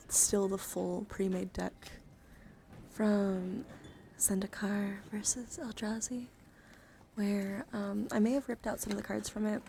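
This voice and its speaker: Soft, whispery woman with gravelly fry. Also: mid-range voice, slight background noise. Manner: hushed, often slipping into whisper. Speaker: livestreamer. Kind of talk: soft-spoken ASMR stream chat.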